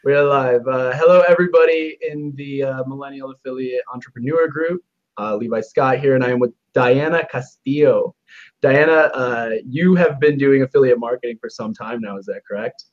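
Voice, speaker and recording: A man's voice: mid-range voice, moderate pace. Man hosting over muffled call audio. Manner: easygoing, hesitant "uh" fillers, frequent backchannel. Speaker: host. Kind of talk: live interview hosting. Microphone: video call.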